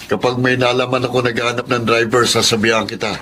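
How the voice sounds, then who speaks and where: A man's voice: mid-range voice, flat delivery, quick pace, noisy background, natural voice. An actor playing a friendly young man from the employer's family, talking casually.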